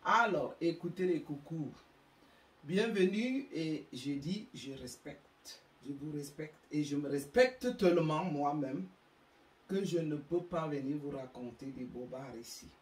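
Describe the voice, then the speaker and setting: A woman's voice: deep voice, expressive, echoey room, far from the mic, poor audio. A woman with an African French accent hosting her own channel, delivering an emphatic, moralizing monologue. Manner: slow, emphatic, preachy. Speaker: YouTube channel host.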